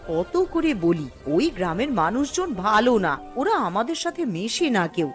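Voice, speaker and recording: A woman's voice: mid-range voice, very animated, moderate pace, soft background music, lightly acted. A woman playing a fretful village wife and mother in a cartoon, nagging and exasperated. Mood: exasperated worry. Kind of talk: Bengali cartoon dialogue.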